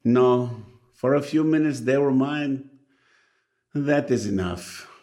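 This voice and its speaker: An actor playing a gentle elderly stamp dealer in a radio drama, with a savoring, deliberate delivery. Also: male voice, medium pitch, lightly acted. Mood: gentle, honest.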